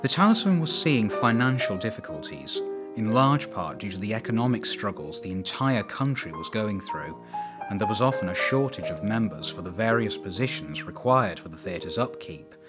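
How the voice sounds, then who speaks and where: A man narrates a documentary in a lowish voice with very lively, varied intonation. His scripted delivery carries wry asides, and music plays clearly behind him. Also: moderate pace. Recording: voice over background music.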